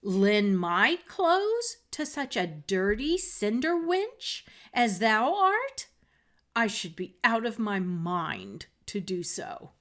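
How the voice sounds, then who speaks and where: A woman's voice: medium pitch, big pitch swings, slow, a bit bright, full character voice. A woman voicing a haughty, scornful young stepsister in a fairy tale, boastful and emphatic, with old-fashioned wording. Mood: scornful, indignant.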